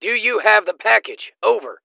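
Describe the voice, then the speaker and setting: A man's voice: high-pitched, lively intonation, normal speed, creaky, dry recording, exaggerated voice. A man playing a cold crime boss in an audio drama, giving terse orders through a two-way radio filter. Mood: cold, commanding. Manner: terse, commanding. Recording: dull, band-limited two-way radio.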